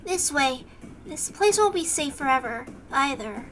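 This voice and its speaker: A woman voicing a shy, guarded young girl survivor with a soft, quiet, bleak delivery. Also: high-pitched, animated, unhurried, slight background noise. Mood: guarded, subdued.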